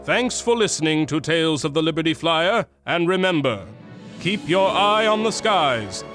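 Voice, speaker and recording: A man's voice: fairly high pitch, animated, moderate pace, a bit bright. A man reading scripted closing credits as a radio-style announcer, with theatrical delivery. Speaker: series co-creator and closing announcer. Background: music.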